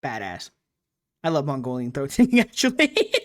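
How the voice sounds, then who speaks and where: A woman's voice: rumbling low, huge pitch swings, normal speed. A woman hosting a late-night live stream, chatting casually and playfully, laughing often, with a slightly nasal voice. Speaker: streamer host.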